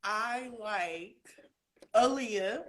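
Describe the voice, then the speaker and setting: A woman with a deep voice, a guest in a casual interview, talking in an animated, slangy, emphatic way and thinking aloud with fillers. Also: extremely slow. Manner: animated, breaks into singing snatches.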